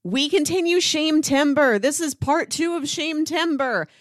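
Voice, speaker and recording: A woman's voice: high voice, measured pace, dry recording, studio quality. A woman co-hosting a movie podcast with casual, playful, mock-earnest chat. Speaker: podcast co-host.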